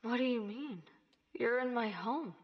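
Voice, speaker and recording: A woman's voice: on the high side, lively intonation, unhurried. A woman acting an eerie, possessive character in a horror film. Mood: eerily possessive. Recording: muffled horror film audio with long reverb.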